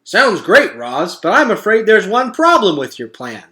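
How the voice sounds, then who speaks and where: A storybook beaver fellow, played by a male reader, full of doubts. The voice is pushed high and bright, objecting with emphasis. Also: wildly swooping pitch, measured pace, heavily acted. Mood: doubtful. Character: male beaver, beady-eyed doubter pointing out problems.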